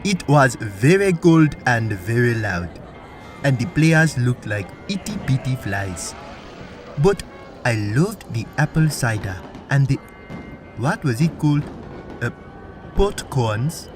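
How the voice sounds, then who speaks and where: A man's voice: mid-range voice, very animated, over music. A man playing a friendly, naive traveler in a fantasy audio drama, speaking formally and innocently. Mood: pleased, curious. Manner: innocent, deliberate, formal wording.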